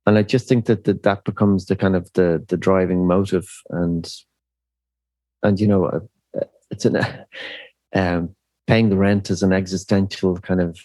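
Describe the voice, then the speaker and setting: A middle-aged Irish musician, speaking thoughtfully in a podcast interview, pausing often with fillers and restarts. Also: male voice, deep voice, steady tone, dark tone, dry recording. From Ireland. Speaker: musician guest.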